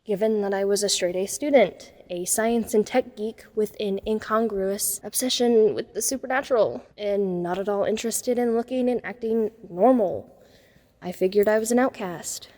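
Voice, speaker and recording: A woman's voice: medium pitch, moderate pace, darkish tone, big-room sound, lightly acted. A young woman playing an introspective, self-conscious teenage girl who narrates her own story in an audio drama. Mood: wry, wistful. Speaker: narrator and lead character. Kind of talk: first-person dramatic narration.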